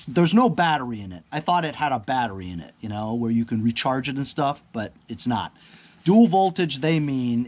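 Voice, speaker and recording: A man doing a casual, unscripted product unboxing, rambling and thinking aloud while often checking in with the viewer. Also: mid-range voice. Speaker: product reviewer. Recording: clear background ambient noise.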